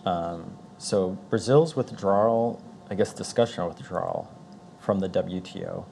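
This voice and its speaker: An American man moderating a live question session in an informal, halting, jokey way. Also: low voice, gravelly, dark tone, a little hiss or hum. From Alaska, United States. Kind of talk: casual live Q&A.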